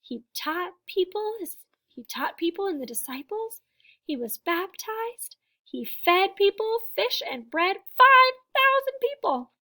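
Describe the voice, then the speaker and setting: A woman with a high-pitched voice reads a picture book aloud to children. She phrases things deliberately, leaning on important words. She speaks as a teacher would, explaining simply to young listeners. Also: very animated, bright, forceful tone. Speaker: storybook reader and teacher.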